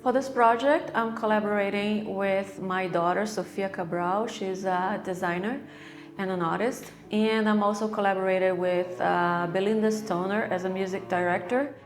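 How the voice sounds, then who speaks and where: Halting Brazilian-born woman artist, flat. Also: mid-range voice, faint music behind. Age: middle-aged. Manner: halting, searching for words, repeats words. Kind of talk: documentary interview.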